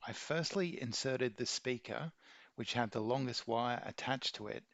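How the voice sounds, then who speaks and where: A man reading a scripted how-to narration in a measured, methodical way. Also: mid-range voice, fairly bright tone, no room sound. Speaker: video maker giving an installation tutorial.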